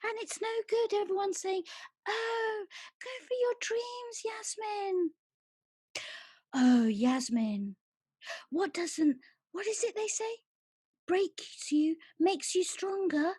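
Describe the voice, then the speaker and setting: A woman's voice: extremely high pitch, expressive, slow, mellow, no echo, studio quality, heavily acted. A woman playing a coarse, furious female alter who swears and rants in a solo stage monologue. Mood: furious ranting. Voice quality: swearing, brash. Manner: ranting, mocking.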